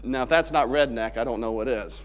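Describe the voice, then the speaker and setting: A man's voice: mid-range voice, a little fast. A man from Alabama giving a technical conference talk in a joking, conversational way. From Alabama, USA. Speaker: conference speaker. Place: large conference hall stage. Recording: long hall reverb on stage mic.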